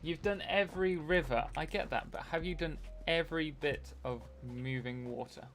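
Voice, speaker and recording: A man's voice: on the high side, normal speed, a bit bright, soft background music. A young man hosting a casual live stream while playing a game, rambling and answering chat messages.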